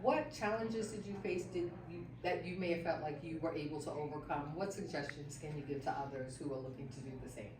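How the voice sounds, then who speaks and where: A woman's voice: deep voice, a little fast, few pauses, recorded from a distance. A woman interviewer and career coach who asks encouraging questions, affirming often and tagging her sentences with "right?". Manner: affirming, frequent "right?" and "awesome". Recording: echoey room, voice a bit off-mic, noisy.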